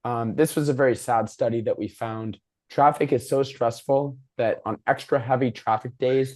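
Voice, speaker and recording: A man with a worn, hoarse voice speaks at an average pitch. He moderates a public discussion over a video call, giving a prepared presentation in an informal way. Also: a little mellow. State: hoarse, losing his voice. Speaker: moderator and host of a civic discussion.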